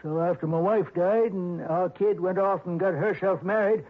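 A man's voice: high voice, normal speed. An actor playing a crotchety, folksy old retired railroad flagman, evasive and defensive, in 1960s radio drama style. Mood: stubborn, defensive. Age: older. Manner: folksy rural speech, querulous. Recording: muffled narrow-band vintage radio recording.

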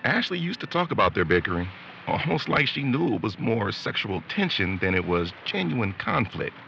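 A man's voice: on the low side, very animated, moderate pace, vocal fry, pushed and bright, exaggerated voice. A man acting an awkward, wistful young boyfriend in a naturalistic audio drama, talking hesitantly. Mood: awkward, casual. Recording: dull lo-fi audio with faint background noise.

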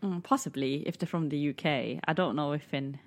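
A woman with a very low voice and a Mancunian accent co-hosts a podcast. She chats casually and giggles often. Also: brisk. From England. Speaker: podcast co-host. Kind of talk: casual chat.

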